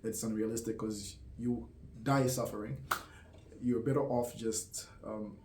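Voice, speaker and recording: A man's voice: fairly low pitch, fairly even intonation, a little slow, noisy background. A man from Zambia talking casually to camera, thoughtful and hesitant, with lots of "um" and "like" and small laughs. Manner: hesitant, many fillers and soft laughs. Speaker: vlogger. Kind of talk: casual reflective monologue. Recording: roomy echo.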